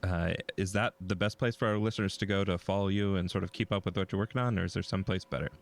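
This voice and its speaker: A man's voice: deep voice, fairly even intonation, quick pace, vocal fry. A man co-hosting a developer podcast, asking a guest questions and reading the outro plainly. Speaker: podcast co-host.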